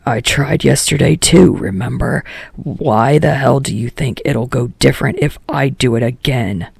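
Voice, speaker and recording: Woman acting out a surly teenage boy, very gravelly growl, low for her, blunt, snapping, fan-fiction audiobook. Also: measured pace, darkish tone, no room sound, clean studio sound, full character voice. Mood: defensive irritation. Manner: curt, snapping, swearing. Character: gruff, foul-mouthed, defensive teenage boy, blunt and irritable.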